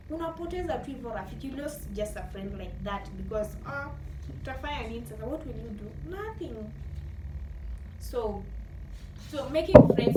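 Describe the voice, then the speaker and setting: Kenyan female vlogger, high voice, casual animated storyteller who mixes two languages, heard over clear background noise. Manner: animated, acts out remembered dialogue, switches between two languages. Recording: roomy echo, distant mic, noisy background.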